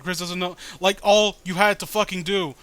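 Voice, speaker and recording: High-voiced, bright, foul-mouthed man riffing. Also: steady tone, normal speed, clear, resonant tone, dry recording. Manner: profane, exasperated, rambling. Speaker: co-host reading a webcomic. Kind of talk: casual comic riffing and commentary.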